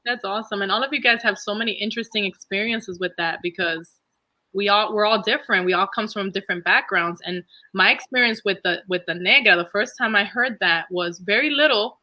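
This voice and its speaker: Bright woman moderating a panel. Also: mid-range voice, brisk, poor audio. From Dominican Republic. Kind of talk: casual panel hosting and personal storytelling.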